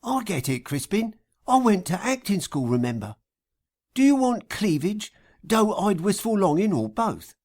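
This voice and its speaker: Man putting on a high, purring, bossy flirtatious woman. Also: very animated, unhurried, a little mellow, heavily acted. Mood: confident, flirty. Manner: purring, coquettish. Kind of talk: acted audiobook dialogue.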